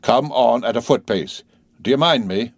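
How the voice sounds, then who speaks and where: A man voicing a gruff, suspicious armed coach guard in a storybook, with a barking, threatening manner. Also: medium pitch, moderate pace, no echo, clean studio sound, voice pushed up, close to an everyday voice.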